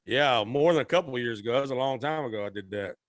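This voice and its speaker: A man in his thirties from Arkansas, a guest singer telling his story in a relaxed, rambling, folksy way, often correcting himself. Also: mid-range voice, quick pace, dry recording.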